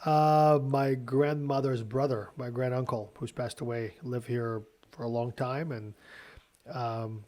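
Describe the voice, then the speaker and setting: A man, a guest speaking in a measured, explanatory way. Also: mid-range voice, fairly even intonation, darkish tone. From Ukraine. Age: 40s. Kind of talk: casual podcast interview.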